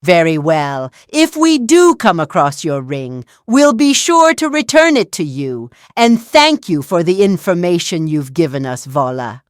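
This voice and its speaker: A woman's voice: lowish voice, very animated, measured pace, hardly any pauses, smooth, no room sound, clean studio sound. A woman voicing a polite, earnest heroine in a game, speaking formally and courteously. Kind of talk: video game dialogue.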